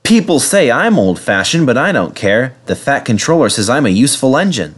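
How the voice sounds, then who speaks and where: A man's voice: sits high, wildly swooping pitch, unhurried, fairly bright tone, voice pushed up, full character voice. A man playing a kindly, earnest old steam engine in a storybook, speaking emphatically. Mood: indignant.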